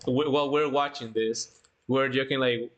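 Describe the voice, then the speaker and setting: A man hosting a casual livestream chat, talking informally in a halting way and often repeating himself. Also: mid-range voice, steady tone, muffled recording. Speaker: livestream host.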